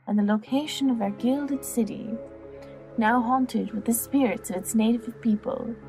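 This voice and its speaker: A woman playing an alluring, worldly courtesan who tells tales in a soft, measured, theatrical way for an audiobook drama. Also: on the high side, soft background music, close to an everyday voice. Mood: hushed storytelling. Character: alluring young female courtesan telling legends, worldly and teasing.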